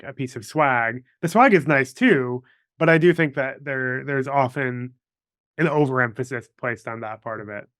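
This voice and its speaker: A man's voice: mid-range voice, fairly bright tone, smooth, dry recording, clean studio sound. A man hosting a podcast in a casual, conversational and reflective way. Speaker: podcast host. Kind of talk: casual interview chat.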